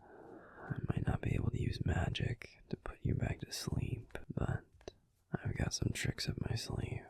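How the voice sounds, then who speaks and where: A man's voice: unhurried, dry recording, lightly acted. A man voicing a grumpy but tender male werewolf boyfriend in a whispered bedtime audio roleplay, fussing protectively and then soothing. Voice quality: gruff and soft whispering.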